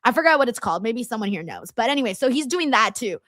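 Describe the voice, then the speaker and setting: Woman host, high bright voice, loose chatty manner, laughs often, dry close mic. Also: big pitch swings, fast talker, dry recording. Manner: talks straight to her viewers. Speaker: live-stream host reading chat. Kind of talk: casual watchalong chat.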